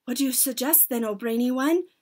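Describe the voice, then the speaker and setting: Woman voicing shrill, excitable follower witches. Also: normal speed. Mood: eager, spiteful. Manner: shrill, clamouring exclamations. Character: excitable crowd of eager, spiteful female witches.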